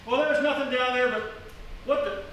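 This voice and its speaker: A man's voice: very high-pitched, moderate pace, fairly bright tone. A man acting as a dismissive, easily spooked boyfriend in a melodramatic live radio play. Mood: reassuring. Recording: echoey live theatre, far mic, faint noise.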